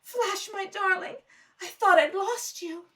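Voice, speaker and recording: A woman's voice: very high voice, slow pace, fairly bright tone, distant mic, heavily acted. A woman playing a distraught young heroine in a melodramatic old radio serial manner. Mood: overjoyed. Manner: melodramatic, breathless exclamations. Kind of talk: 1930s radio serial acting. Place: kitchen table at home.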